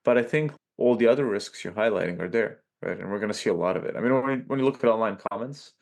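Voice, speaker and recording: A man with a Serbian accent, a podcast guest talking in a loose, animated way and tagging lines with "right?". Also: fairly low pitch, fast talker, gravelly. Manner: animated, peppers speech with "like" and "right?". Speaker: podcast guest, tech company chief. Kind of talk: casual interview talk.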